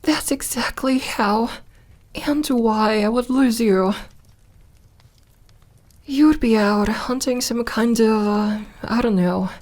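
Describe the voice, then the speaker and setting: A woman voicing a lonely, guarded yet tender immortal vampire girl in an intimate ASMR roleplay, speaking softly and haltingly. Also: fairly high pitch. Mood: exasperated, worried. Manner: soft, hesitant, halting delivery with sighs.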